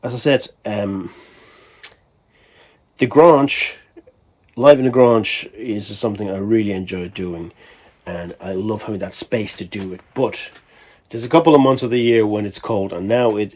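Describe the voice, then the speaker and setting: Male vlogger from Finland, lowish voice, unscripted and conversational, wandering through his thoughts as he speaks. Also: unhurried, darkish tone. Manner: rambling, conversational, thinking aloud. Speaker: musician vlogger. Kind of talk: casual vlog talk.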